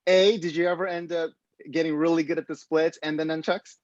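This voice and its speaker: A man's voice: a little high, little pitch movement, brisk, no echo, lo-fi sound. A man in his forties interviewing a guest, enthusiastic, often restarting and rephrasing his questions. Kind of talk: casual interview.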